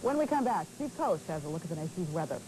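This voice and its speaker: A woman's voice: lowish voice, big pitch swings, quick pace, dark tone, a little hiss or hum, low-quality recording. An American woman anchoring the news, reading scripted headlines on a late-eighties television broadcast. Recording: late-1980s TV broadcast with crowd sound.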